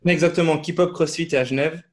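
A man from Switzerland, a podcast guest and fitness coach, speaking in a relaxed, halting way with many fillers and restarts. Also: on the high side. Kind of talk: casual podcast interview.

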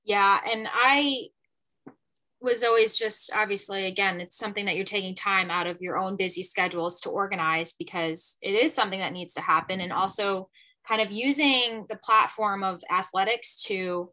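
A woman's voice: mid-range voice, steady tone, normal speed, pushed and bright. A young woman hosting a casual interview, chatty and enthusiastic, with plenty of likes and you knows. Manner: enthusiastic, chatty, many fillers. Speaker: podcast host, former athlete.

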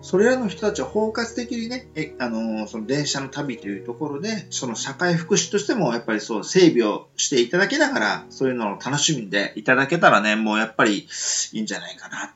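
A man's voice: mid-range voice, big pitch swings, brisk, few pauses, a bit bright, highs cut off, faint music behind. A man hosting a casual talk show, speaking politely in a rambling, hedging way. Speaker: radio show host.

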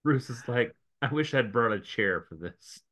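A man's voice: medium pitch, lively intonation, normal speed, a bit bright. A man co-hosting a podcast, jumping in with wisecracks and mock quotes in casual chat. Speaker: podcast co-host.

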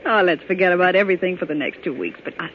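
An actress playing a doting, coquettish young society bride in a 1940s radio drama, cooing and affectionate. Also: female voice, on the low side, very fast, lightly acted. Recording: tinny, faintly noisy old radio recording.

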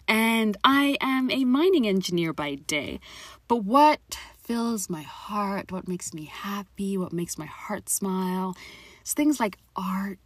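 A woman's voice: mid-range voice, no room sound. A woman in her thirties from Zimbabwe hosting her own podcast, talking frankly and encouragingly to listeners, with casual swearing. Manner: frank, profane, encouraging, talks straight to listeners. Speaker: podcast host. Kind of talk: personal podcast monologue.

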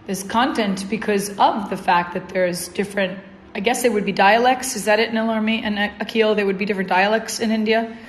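A woman's voice: medium pitch, fairly even intonation, a little fast. A woman joins a casual live audio chat and asks curious questions. Her voice sits in a roomy, echoey space, with a faint background hum. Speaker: guest speaker.

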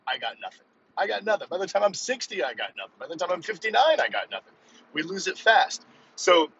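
A man in his fifties talks off the cuff as a podcast host and coach. His voice is bright and forceful at an ordinary pitch, with an emphatic, rambling delivery. The recording is dull and lo-fi, with faint vehicle noise behind him. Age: 50s. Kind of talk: casual solo podcast chat.

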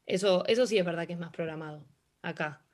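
A woman's voice: on the low side, fast talker, a little mellow. A young woman with an Argentine accent answering interview questions casually, with many fillers and restarts. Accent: Argentine Spanish. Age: young adult. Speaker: interviewee, hockey player.

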